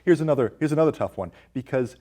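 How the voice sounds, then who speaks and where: A man's voice: mid-range voice, animated, quick pace, mellow. A man, around forty, hosting a film review show and talking through ideas casually with a guest.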